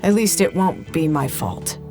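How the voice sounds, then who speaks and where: Low-voiced, jaded woman. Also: expressive, a little slow, a little mellow, soft background music. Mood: jaded, musing. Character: jaded, candid woman numbed by endless catastrophe. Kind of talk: naturalistic audio drama monologue.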